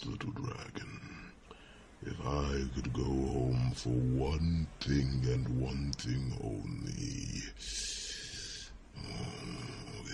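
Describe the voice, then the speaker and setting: An enormous old dragon in an ASMR fantasy roleplay, extremely slow and ponderous, with an extremely gravelly growl. He is a lazy, hungry companion who rumbles and hums between phrases. There is clear noise behind the voice. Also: exaggerated voice.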